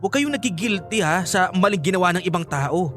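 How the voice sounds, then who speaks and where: A man's voice: sits high, animated, brisk, fairly bright tone. A resentful young man in a radio drama, arguing as a protective son. His delivery is bitter, emphatic and insistent, with music underneath. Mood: bitter, angry.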